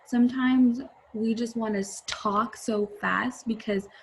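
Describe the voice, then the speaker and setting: A woman's voice: a little high, steady tone, measured pace, creaky, low-quality recording. A woman teacher reading a storybook aloud and explaining it simply to young children. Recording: faint background noise.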